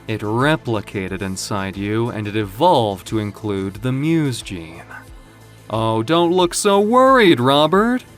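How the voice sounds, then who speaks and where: A man playing a cocky, flamboyant villain in an audio drama, gloating theatrically over his captive with savoring pauses. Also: on the low side, animated, faint music behind. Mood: mocking, smug. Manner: theatrical, taunting, savoring words with drawn-out pauses.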